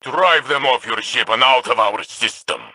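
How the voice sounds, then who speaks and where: An actor playing an ancient, wise male alien elder in a video game, husky and solemn in manner. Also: mid-range voice, sharp tone, no echo. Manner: slow, solemn, counseling. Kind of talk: video game character dialogue.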